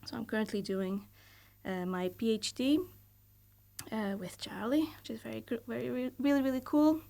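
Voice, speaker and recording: A woman in her thirties from Portugal with a Portuguese accent, a university lecturer speaking as a guest in a casual podcast interview. She talks in a thoughtful, measured way, often hedging with fillers. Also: a little high, creaky, dark tone. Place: podcast studio.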